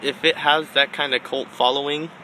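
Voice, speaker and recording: A man's voice: fairly high pitch, fairly even intonation, moderate pace, pushed and bright, a little hiss or hum, poor audio. A young man speaking offhand and joking in a casual group chat.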